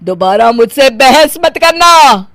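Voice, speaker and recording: Woman acting a stubborn middle-aged cartoon mother, very high voice, unhurried, theatrical and whiny. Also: animated, a bit bright, no echo, clean studio sound, exaggerated voice. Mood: stubborn, defiant. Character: melodramatic, gullible, stubborn middle-aged Indian mother-in-law. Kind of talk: animated moral story acting.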